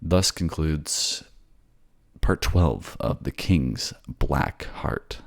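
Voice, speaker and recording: A man's voice: a bass voice, lively intonation, extremely slow. A man who voice acts for his own channel, speaking directly to his listeners as narrator and creator. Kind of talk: narration and casual sign-off to listeners.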